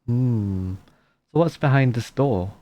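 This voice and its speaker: Mellow, laid-back male game streamer. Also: medium pitch, lively intonation, takes it slowly. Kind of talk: casual gameplay commentary, reads dialogue aloud. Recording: game music behind the voice.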